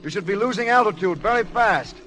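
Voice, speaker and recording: Commanding high-voiced airfield chief. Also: male voice, lively intonation, brisk, bright, forceful tone, faint music behind. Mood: controlled urgency. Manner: terse, commanding, urgent. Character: steady, authoritative airfield chief talking a pilot down. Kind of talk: 1930s radio drama acting. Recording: faintly noisy vintage radio recording.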